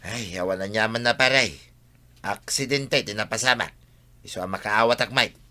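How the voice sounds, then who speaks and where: A man's voice: lowish voice, moderate pace, pushed and bright. An actor with an Ilocano accent playing a male villager in a radio comedy drama. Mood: apologetic.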